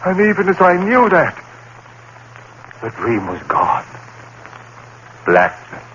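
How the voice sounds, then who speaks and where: A man's voice: high-pitched, big pitch swings, takes it slowly, a bit bright, heavily acted. An actor playing an accused murderer, a genteel biology teacher, speaking his thoughts in 1930s radio drama style. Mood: brooding. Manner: brooding inner monologue, genteel and formal. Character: haunted male murder suspect, biology teacher. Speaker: lead actor. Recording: dull old radio broadcast with hiss.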